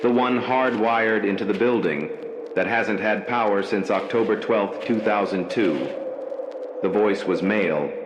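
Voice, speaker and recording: A man reading a scripted horror story as narrator, slow, measured and ominous in delivery. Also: on the low side, muffled recording. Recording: echoey room with background noise.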